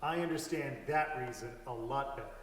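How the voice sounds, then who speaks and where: A man playing an earnest, observant young boy in a measured storybook reading. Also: medium pitch, recorded from a distance, close to an everyday voice. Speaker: reader in a dramatic story reading. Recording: echoey hall from afar, faint hiss.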